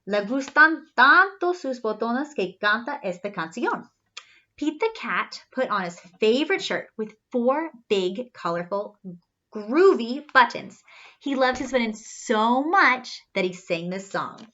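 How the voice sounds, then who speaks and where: Woman children's storyteller: high, very lively pitch swings, teacherly read-aloud delivery, singsong refrains, slightly muffled recording. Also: a little slow. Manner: animated, teacherly, spelling things out for young children. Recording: slightly dull, lo-fi sound.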